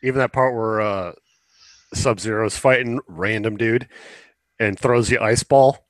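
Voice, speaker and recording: A man's voice: fairly low pitch, unhurried, no echo. A man talking in a loose, casual conversational style as a co-host. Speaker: podcast co-host. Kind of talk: casual chat.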